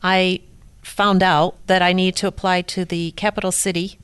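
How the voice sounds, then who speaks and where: Woman, interview guest, low voice, measured plain telling, dry close mic. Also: level tone, a bit bright, clean tone, dry recording. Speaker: guest, prisoner's wife. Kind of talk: interview storytelling.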